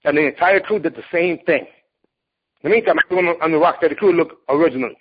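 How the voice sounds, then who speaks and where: Blunt, husky man with a higher voice. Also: fast talker. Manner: emphatic, blunt, preachy. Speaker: martial arts competitor and teacher. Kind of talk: interview, storytelling monologue. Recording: dull lo-fi recording.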